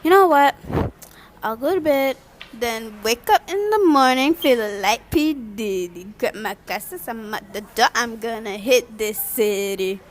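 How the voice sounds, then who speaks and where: A woman's voice: a little high, unhurried, close to an everyday voice. A woman playing a nervous, wary young renter with a wry streak in an amateur radio drama, with some noise behind her. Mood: bewildered, wry. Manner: hesitant, expressive, wry.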